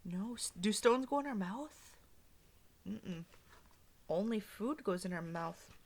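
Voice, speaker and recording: Gentle woman teacher, lively voice. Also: mid-range voice, slow pace, a little hiss or hum. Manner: gentle, questioning, soft prompts to children. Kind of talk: read-aloud lesson for young children.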